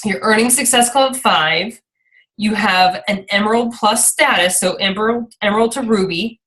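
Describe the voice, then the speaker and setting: A woman's voice: medium pitch, moderate pace, fairly bright tone, rough recording. A woman leading an informal motivational team training call, rambling a little and often checking in with her listeners as she encourages them. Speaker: team leader and coach hosting a call.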